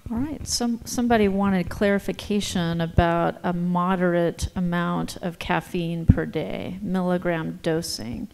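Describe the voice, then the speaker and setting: A woman's voice: lowish voice, fairly even intonation, unhurried, creaky, few pauses, a little mellow, low-quality recording. A woman moderating a panel on stage, reading out questions from the audience. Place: auditorium stage. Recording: faint background noise.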